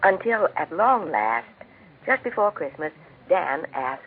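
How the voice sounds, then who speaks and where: An American man narrating a family Christmas story as a gentle 1940s radio storyteller. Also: on the high side, big pitch swings, takes it slowly, slight background noise, full character voice. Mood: storytelling. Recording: tinny 1940s radio recording.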